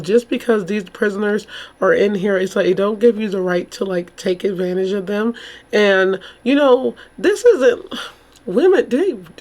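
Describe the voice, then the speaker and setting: Female vlogger, slightly hoarse, casual and rambling, exclamatory commentary in an informal chatty style. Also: medium pitch, darkish tone. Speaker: YouTube true crime host.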